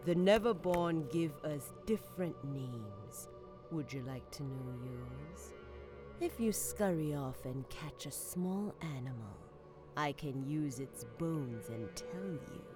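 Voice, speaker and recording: An actress playing a calm, cryptic occult seer in an audio drama, soft and measured. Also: female voice, sits low, over music.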